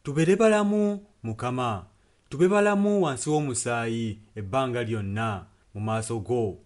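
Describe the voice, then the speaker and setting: Man interpreting a sermon, medium pitch. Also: moderate pace.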